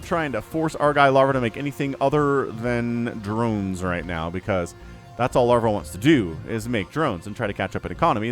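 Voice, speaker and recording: A man casting a strategy game match alone, excitable and jumping into yelps at big plays, his voice slightly scratchy from a cold. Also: medium pitch. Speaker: StarCraft shoutcaster. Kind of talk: solo game commentary.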